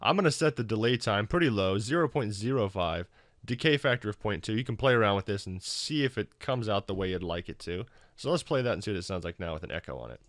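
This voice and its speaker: A man with a fairly low voice hosts a software tutorial. He talks informally, with a wry, self-deprecating manner. The sound is dry and close, with little room around it. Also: expressive, fast talker, dry recording. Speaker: tutorial host. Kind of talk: casual software tutorial narration.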